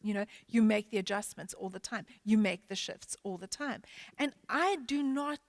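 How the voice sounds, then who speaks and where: A woman's voice: medium pitch, normal speed, no room sound. A woman church leader speaking in a church panel discussion, thinking aloud with many restarts and fillers.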